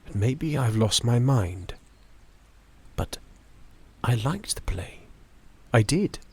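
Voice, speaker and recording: A kindly middle-aged gentleman in a radio play. His voice is husky and moves expressively, with theatrical, musing pauses that give him a reflective, philosophical air. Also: mid-range voice, lively intonation, dry recording. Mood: musing, confiding. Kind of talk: theatrical audio drama acting.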